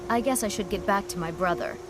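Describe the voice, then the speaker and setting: A woman's voice: mid-range voice, quick pace, background noise, natural voice. A woman playing a spirited, teasing young woman in a video game, pert and conversational. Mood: chatty. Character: spirited young shopkeeper's sister, headstrong and teasing. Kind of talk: video game character acting.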